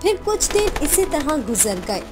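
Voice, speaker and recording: A woman's voice: sits high, sing-song, a little slow, music in the background. A woman narrator reading a scripted cartoon story in storybook style.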